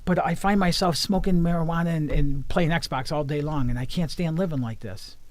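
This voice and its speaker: A man's voice: fairly high pitch, fairly quick, darkish tone, dry recording. A man, a life coach being interviewed, talking earnestly about his work and often repeating words as he starts a sentence. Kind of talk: casual interview conversation.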